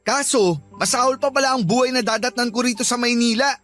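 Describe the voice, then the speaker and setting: High-voiced, grumbling young Filipino son. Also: male voice, quick pace, fairly bright tone, soft background music. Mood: resentful. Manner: plaintive, grumbling. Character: sullen, discouraged young working-class son and father. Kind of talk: Tagalog radio drama acting.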